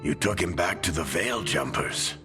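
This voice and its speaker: An actor playing a seasoned, injured team leader in a video game, wry, casual and encouraging. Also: male voice, low voice, expressive, moderate pace, big-room sound, music in the background. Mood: frank, caring.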